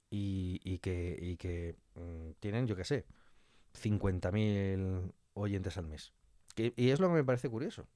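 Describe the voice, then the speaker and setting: A man from Spain with a fairly low voice and a Spanish accent, recorded dry and close. He speaks as a guest in a conversational interview, arguing his points through rhetorical questions and little imagined quotes. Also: dark tone, no room sound. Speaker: interview guest, audio fiction writer. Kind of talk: conversational interview, giving opinions.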